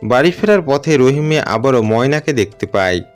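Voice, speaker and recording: Animated male cartoon storyteller. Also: mid-range voice, brisk, faint music behind. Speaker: narrator. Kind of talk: scripted cartoon story narration.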